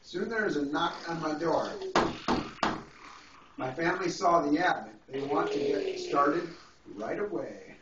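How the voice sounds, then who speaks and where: A man's voice: on the high side, lively intonation, measured pace, recorded from a distance. A man reading a picture book to a small child, playfully drawing out words and adding asides. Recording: echoey, noisy, muffled home video from afar.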